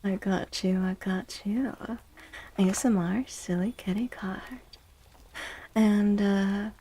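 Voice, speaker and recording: A woman reading tarot as a livestream host in a soft-spoken, near-whispered ASMR style, gentle and reassuring, close to the microphone. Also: medium pitch, steady tone, slow, a little hiss or hum.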